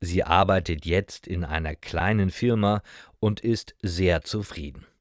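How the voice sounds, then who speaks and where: Slow, low-voiced man narrating. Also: dry recording, clean studio sound. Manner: simple short sentences, carefully paced for learners. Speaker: narrator of German learning stories. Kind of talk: scripted storytelling narration for beginner language learners.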